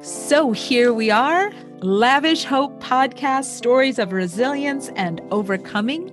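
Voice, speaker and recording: A woman hosting a podcast, speaking in an effusive manner with deliberate emphasis. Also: high voice, soft background music. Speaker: podcast host. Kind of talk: warm podcast hosting, introductions.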